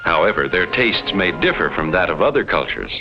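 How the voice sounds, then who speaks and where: A dull vintage film soundtrack with music behind it carries a detached explorer from another planet. The narration is formal, measured and precisely enunciated in 1950s educational style, with a somewhat low pitch that moves expressively. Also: male voice, sing-song. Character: detached alien explorer reporting on Earth's inhabitants.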